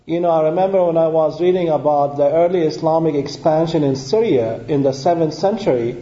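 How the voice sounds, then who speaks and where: A man whose first languages are Arabic and Hebrew gives a measured university lecture, his pitch sitting a little high and his tone very mellow and dark. The recording sounds roomy and somewhat dull, as if taken from the back of a lecture hall. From Middle East. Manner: measured, with frequent uh fillers. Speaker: guest lecturer. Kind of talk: public lecture. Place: lecture room.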